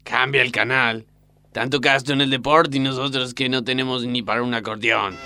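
A man's voice: mid-range voice, steady tone, moderate pace, pushed and bright, a little hiss or hum. A man from Argentina acting a grumbling, poor television viewer in a parody radio play. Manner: complaining.

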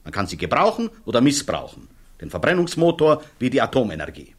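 An actor playing a self-assured, unsentimental physicist testifying emphatically in a German radio play. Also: male voice, medium pitch, very animated, a little fast, a bit bright. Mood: confident, dismissive. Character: self-assured, unsentimental male physicist witness defending discovery.

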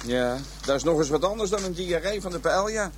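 A man's voice: high voice, expressive, fairly quick, a little hiss or hum. An actor playing a talkative Amsterdam police detective abroad in a radio drama, a man with a blunt, colloquial, wry manner. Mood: dismayed.

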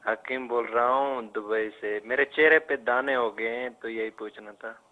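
A young man in his twenties calls in with a short, casual question. His mid-pitched voice comes over a tinny phone line. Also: fairly even intonation, a little slow. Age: 20s. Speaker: caller.

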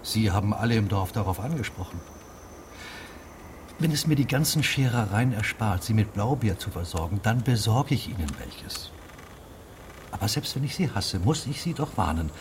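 Emphatic low-voiced village official, very animated. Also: male voice, normal speed, background noise. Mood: indignant, defiant. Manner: emphatic, blunt, foreboding. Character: defiant, resentful male village official warning an outsider. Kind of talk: German radio play acting.

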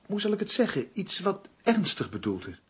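Husky, earnest man. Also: high voice, huge pitch swings, moderate pace, full character voice. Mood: worried. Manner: hesitant, earnest, defensive. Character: uneasy, earnest male crime novelist, easily riled. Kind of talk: radio drama acting.

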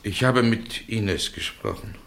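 A man's voice: fairly low pitch, expressive, a little slow, vocal fry, a bit bright, exaggerated voice. An actor playing a brooding, reluctant man pressed into murder, grave and formal, in a solemn 1960s radio play. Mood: resigned.

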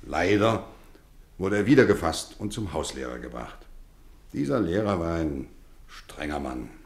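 A warm, strict boarding-school housemaster in a vintage radio play. He speaks low and lively, his pitch rising and falling, with a teasing kindness. A roomy studio echo hangs around his voice. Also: exaggerated voice. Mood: storytelling. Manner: warm, measured, gently teasing. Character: kindly strict male boarding-school teacher, middle-aged. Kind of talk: 1950s radio drama acting.

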